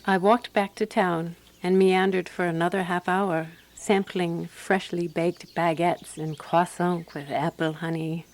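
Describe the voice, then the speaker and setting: An actress playing a composed, wry woman sleuth narrating a radio mystery in measured, scripted storytelling. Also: on the low side, a little mellow.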